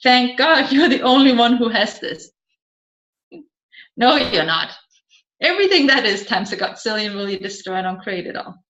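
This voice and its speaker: A woman's voice: a little high, big pitch swings, normal speed, long pauses, fairly bright tone. A woman narrating a self-help audiobook, reading out seminar talk and question-and-answer exchanges. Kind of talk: read-aloud self-help seminar transcript with dialogue. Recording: roomy echo, slightly dull lo-fi sound.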